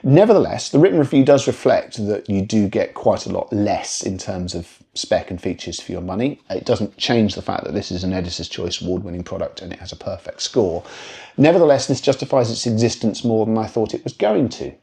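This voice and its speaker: Low, mellow British man reviewing. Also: lively intonation, fairly quick, vocal fry. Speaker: product reviewer presenting a video. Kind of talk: conversational review to camera.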